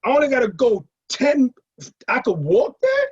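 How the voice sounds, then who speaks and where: A middle-aged man casually telling stories in an animated way, acting out what people said. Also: very high-pitched, moderate pace, husky. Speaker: guest sharing nightlife memories. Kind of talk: casual anecdotal storytelling. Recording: muffled lo-fi audio.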